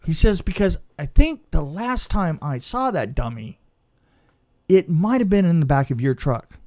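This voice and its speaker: A middle-aged man telling a casual anecdote in an emphatic, halting way, repeating phrases and checking in with the listener. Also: fairly high pitch, big pitch swings, a little mellow. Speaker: podcast guest, film crew veteran.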